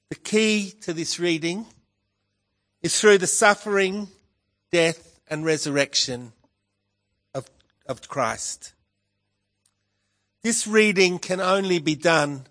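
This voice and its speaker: A pastor delivering a sermon in a croaky voice, measured and emphatic, rising on key words. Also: male voice, high-pitched, a bit bright. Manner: slow, measured preaching with emphatic rises. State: hoarse, croaky voice with a cough.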